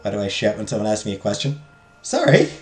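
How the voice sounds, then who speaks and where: Loud-voiced man streaming a game. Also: on the low side, huge pitch swings, quick pace. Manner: loud, talks loudly. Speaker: streamer playing a visual novel. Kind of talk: reading game text aloud and chatting with viewers. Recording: roomy echo, faint game music.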